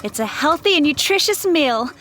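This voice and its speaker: Lady acting as a gushing hippie wife in an audio drama, high voice, swoopy and loving. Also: lively intonation, normal speed, noisy background. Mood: loving, dreamy. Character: gushing, loving, hippyish wife, serene believer in goodness.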